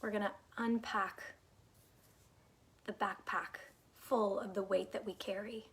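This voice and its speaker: Calm woman guiding a meditation. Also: mid-range voice, vocal fry. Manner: calm, unhurried, soothing, soft phrases trailing into breathiness. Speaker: guided meditation teacher. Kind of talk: guided meditation, gentle instruction.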